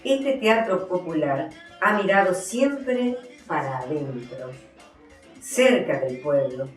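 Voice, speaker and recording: A woman with an Argentine accent presenting her books as an author, in a deliberate, explanatory way. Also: on the low side, very animated, faint music behind. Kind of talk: book presentation, explanatory talk with some reading. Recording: echoey, somewhat distant from the mic.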